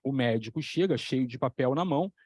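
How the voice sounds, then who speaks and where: A man talking as a psychoanalytic lecturer, telling stories with lively examples and repeating key phrases for emphasis. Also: mid-range voice, brisk, no echo. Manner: animated storytelling, acting out voices in the examples. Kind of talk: explanatory talk on a video channel.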